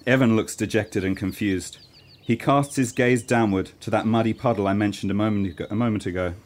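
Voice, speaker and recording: A man's voice: fairly low pitch, steady tone, brisk. A man narrating a scripted comedy radio play in a mock-serious storytelling manner.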